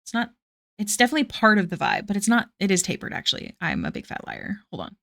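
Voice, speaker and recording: A woman's voice: medium pitch, huge pitch swings, quick pace, creaky, bright, forceful tone. Giggly young woman streaming her art. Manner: giggly, self-deprecating, chatting to viewers. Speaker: artist streaming live. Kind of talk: casual live-stream chat while drawing.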